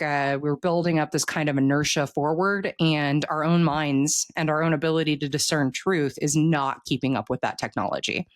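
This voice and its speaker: A woman's voice: low voice, dry recording. A woman in her thirties talking casually in an interview, explaining earnestly and often posing rhetorical questions. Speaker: author being interviewed.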